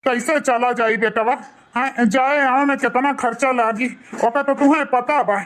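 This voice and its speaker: A man plays an old, poor village father in a cartoon, full of fretting and care. His Awadhi-accented voice is bright and tense, with an artificially raised pitch. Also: fairly even intonation, moderate pace. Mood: worried. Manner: fretful, plaintive.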